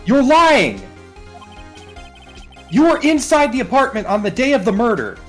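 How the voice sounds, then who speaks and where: A woman's voice: fairly high pitch, big pitch swings, measured pace, faint music behind. A woman voicing a determined young male rookie defense lawyer in a video game, speaking assertively and declaiming. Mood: accusing.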